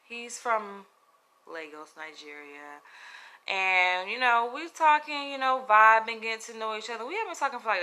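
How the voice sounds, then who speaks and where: A woman with a Southern US accent talks to camera in a piercing, very bright voice. She tells her story frankly and with lots of animation. Her speech is loose, casual and sprinkled with profanity. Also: medium pitch. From Florida, US. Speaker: comedian.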